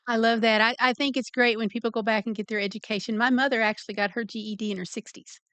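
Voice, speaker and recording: A woman's voice: a little high, fairly even intonation, fast talker, fairly bright tone, clean tone, no echo. A woman in her fifties hosting an interview show, talking in a chatty, folksy way. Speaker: talk show host. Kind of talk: casual interview chat.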